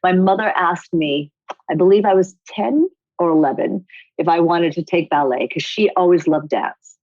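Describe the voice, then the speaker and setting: A middle-aged woman from Rhode Island, a choreographer telling stories in a casual interview, expressive and quick to laugh. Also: fairly low pitch, no echo, lo-fi sound. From United States, Rhode Island. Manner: animated, expressive storyteller who laughs often. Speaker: guest choreographer.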